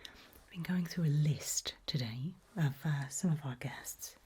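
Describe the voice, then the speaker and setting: A woman playing a friendly, forgetful research scientist in an ASMR roleplay, speaking in a hesitant, polite, breathy whisper. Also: sits low, a little slow, heavily acted. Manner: hesitant, polite, soft-spoken.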